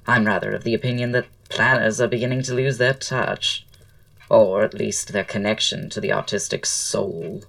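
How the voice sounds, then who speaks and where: An actor playing a witty, flamboyant Victorian writer and aesthete in an audio drama, speaking in a florid, languid, theatrical way. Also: male voice, lowish voice, steady tone, normal speed, gravelly, fairly bright tone, far from the mic. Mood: wistful, opinionated.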